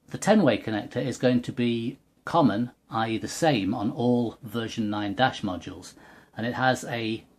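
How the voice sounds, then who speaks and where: Low-voiced man, slightly husky, explaining methodically. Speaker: presenter of a technical tutorial. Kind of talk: step-by-step hands-on product demonstration.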